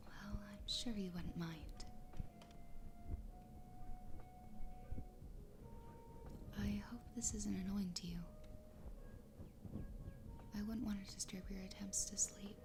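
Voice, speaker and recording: A woman voicing an obsessively loving fox girl wife in a soft, breathy, tender ASMR roleplay whisper. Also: mid-range voice. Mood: tender, soothing. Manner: tender, slow, intimate. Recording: close whisper over background music. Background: music.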